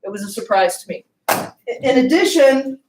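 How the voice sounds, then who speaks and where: A woman's voice: high-pitched, animated, normal speed, roomy sound, background noise. A woman giving a classroom lecture, often asking the class questions and checking in with "okay?" and "right?".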